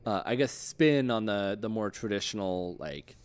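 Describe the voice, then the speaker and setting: A man's voice: fairly low pitch, expressive, normal speed, clear, resonant tone. A man hosting a livestream interview, chatting casually as he puts questions to a guest.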